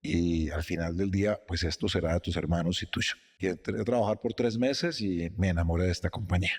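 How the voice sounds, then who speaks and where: A middle-aged man, a company president, telling his story in a measured, reflective way during an interview in his office. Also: deep voice, a little mellow, breathy edge, long reverb tail. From Costa Rica, raised in Guatemala and Colombia.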